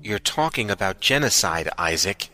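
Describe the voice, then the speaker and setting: A man's voice: fairly low pitch, animated, moderate pace, lightly acted. A man playing a principled, commanding colonel, solemn and dramatic, in a musical science fiction audio drama. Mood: grave. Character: principled male colonel, commanding, morally anguished idealist. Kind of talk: musical audio drama acting, partly sung. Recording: loud music behind the voice.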